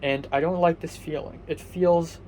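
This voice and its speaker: A young man giving a casual diary vlog from his apartment. His voice is muffled and raspy, and he talks hesitantly, rambling with many ums and restarts. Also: fairly high pitch, steady tone, moderate pace, darkish tone, slight background noise. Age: young adult. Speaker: vlogger.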